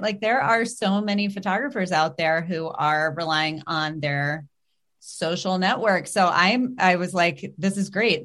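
A woman's voice: mid-range voice, fairly bright tone. A middle-aged woman hosting a podcast, chatting casually and upbeat, with lots of "like" and "um". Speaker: podcast host.

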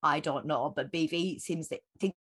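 A woman's voice: deep voice, moderate pace, fairly bright tone, slightly rough. A woman co-hosting a casual recap podcast, talking conversationally with wry, sarcastic asides. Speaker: podcast co-host.

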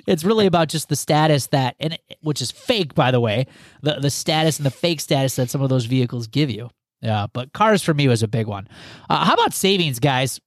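A man's voice: medium pitch, expressive, fairly quick, dry recording, studio quality. A man hosting a podcast, talking casually and rambling with many restarts. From United States. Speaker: podcast host.

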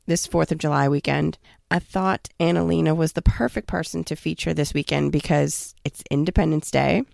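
A woman's voice: deep voice, gravelly, a little mellow, no room sound, clean studio sound. A woman hosting a podcast, recording an upbeat message to listeners while stuffy and nasal from a cold. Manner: upbeat direct address to listeners. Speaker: podcast host. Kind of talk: recorded host outro.

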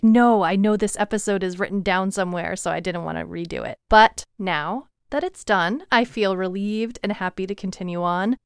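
Woman podcaster with a very clear, smooth voice. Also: mid-range voice, no room sound, studio quality. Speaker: podcast co-host and fiction writer. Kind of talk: casual podcast chat.

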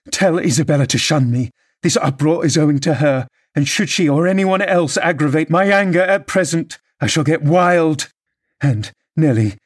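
A man's voice: on the high side, pitched above the performer's own voice, natural voice. A man voicing an impassioned, scornful young married woman with an imperious, theatrical manner in an audiobook novel. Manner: theatrical, emphatic, exclamatory.

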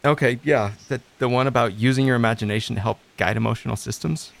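A man acting the part of a lonely, self-doubting recovery coach in an audio drama, halting and introspective. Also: mid-range voice, creaky, no echo, natural voice. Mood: subdued, receptive.

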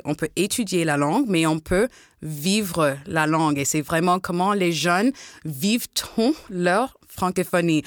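A woman's voice: low-pitched, no echo, studio quality. A woman moderating a panel discussion in an encouraging, thoughtful way.

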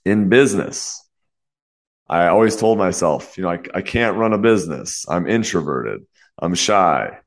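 Host, young man, very low voice, congested and a bit nasal; earnest, brisk solo podcast delivery. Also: animated. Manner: earnest, fast talk with many "you know" fillers. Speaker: podcast host and fitness coach.

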